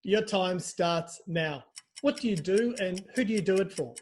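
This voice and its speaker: A man hosting a quick-fire comic interview in a teasing, mock-formal, playful way. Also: sits high. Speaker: interview host.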